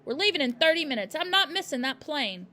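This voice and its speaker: A woman's voice: high-pitched, big pitch swings, a little fast, sharp tone, pitched above the performer's own voice, full character voice. A woman doing a snappish bullying father. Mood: shouting. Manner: barking, snappish, impatient. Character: gruff bullying middle-aged father. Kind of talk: storybook reading.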